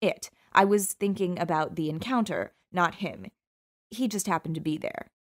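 A woman reads a first-person audiobook with a wry, sultry edge. Her voice sits a little low, and her delivery stays smooth and knowing throughout. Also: normal speed. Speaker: audiobook narrator.

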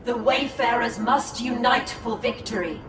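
An actress playing a grave, commanding alien seeress in a video game cutscene, speaking in formal, prophetic, measured phrases. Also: female voice, on the high side, noisy background, lightly acted. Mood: grave, resolute. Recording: roomy, distant, loud cinematic music behind.

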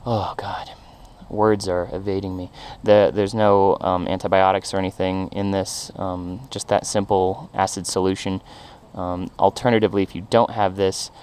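A man explaining a home treatment step by step in a casual, halting way with many ums and restarts, recorded outdoors in a backyard on a head-mounted camera. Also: low voice, darkish tone, clear, resonant tone. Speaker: backyard poultry keeper. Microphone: head-mounted action camera.

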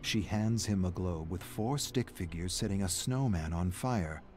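A man reading a scripted story aloud as narrator, in a measured, dramatic storytelling manner. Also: low-pitched, darkish tone, slight background noise.

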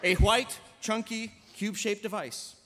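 A man's voice: high-pitched, steady tone, unhurried, sharp tone. A middle-aged man reading stage directions as host of a live table read, announcer-like. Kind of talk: live scripted narration. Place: large indoor convention hall. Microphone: podium microphone. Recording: echoey convention hall, faint noise.

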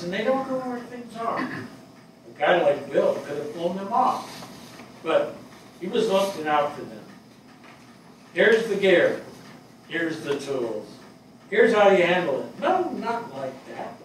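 An older, high-pitched fire captain, played by a man on stage, grieving and plain-spoken, feels his way haltingly through his words in a weary, reluctant manner. The sound comes from far off in a very echoey theatre. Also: very animated. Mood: fond, reminiscing. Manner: halting, hesitant delivery. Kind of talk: dramatic stage reading of a play. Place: small theatre stage before a seated audience. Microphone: distant camera microphone. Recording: very echoey hall, far microphone, noisy.

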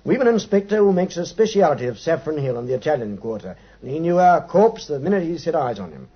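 A man's voice: a little high, big pitch swings, fast, husky. A male actor with a British accent playing a cocky, self-satisfied police inspector who talks boastfully in a 1950s radio drama. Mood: smug, boastful. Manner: boastful, emphatic. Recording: dull 1950s radio recording.

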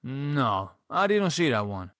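A man's voice: mid-range voice, big pitch swings, slow, fairly bright tone, no room sound, pitched above the performer's own voice. A laconic foreign man, acted by a male narrator in an audiobook tale. He is understated and unruffled, heard close in a dry recording.

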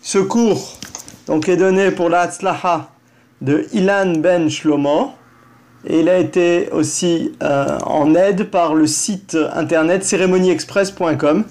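A man's voice: high voice, steady tone, slow, a little mellow. A man hosting an online class, making informal announcements and chatting with participants. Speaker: host introducing an online class. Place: online call. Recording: faint background noise with clicks.

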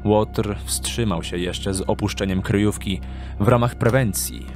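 A man's voice: lowish voice, fairly even intonation, a little slow, a little mellow. Male narrator over atmospheric music. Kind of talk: scripted dramatic story narration.

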